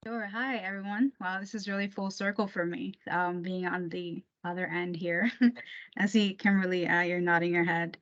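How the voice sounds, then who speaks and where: A woman's voice: lowish voice, steady tone, normal speed. A woman in her twenties, from Puerto Rico, introducing herself as an engineering intern on a video call, fluent and cheerful. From Puerto Rico, raised in New Jersey. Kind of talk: self-introduction on a panel. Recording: dull video call audio.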